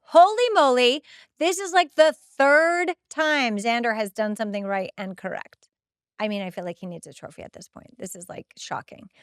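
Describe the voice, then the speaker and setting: Female podcast host, middle-aged, higher-pitched and crisp, expressive recap delivery with exasperated asides, dry recording. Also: moderate pace, no echo. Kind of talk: episode recap reading timestamps and notes, with casual asides.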